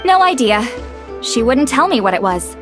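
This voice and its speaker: A woman playing a composed, knowing young woman in a video game, speaking in a measured, explanatory way. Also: on the high side, sing-song, over music. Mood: matter-of-fact. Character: composed, knowing young woman who confers with a spirit lord.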